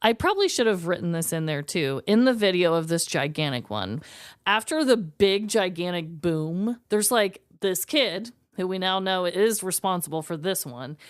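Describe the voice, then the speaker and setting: A woman podcast host tells a story casually and emphatically. Her voice is smooth and clear with very lively pitch, recorded close and dry. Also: normal speed, dry recording. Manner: emphatic, slangy, informal. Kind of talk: casual comedic storytelling chat.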